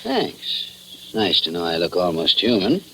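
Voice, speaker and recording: An easygoing small-town police chief, dry and wry in a vintage radio play. The pitch moves in a lively way, with faint noise on the recording. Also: male voice, measured pace, heavily acted. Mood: firm, dry. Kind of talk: 1940s radio acting.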